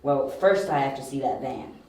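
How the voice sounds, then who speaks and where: A man's voice: medium pitch, moderate pace, a little mellow, close to an everyday voice. A very echoey, distant recording of a man acting as a young detective in an audio drama. He is brash and sure of himself. His delivery is dry and clipped, with a sardonic edge. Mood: insistent. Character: confident, wry young male detective, analytical and brash. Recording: very echoey, distant, faint hiss.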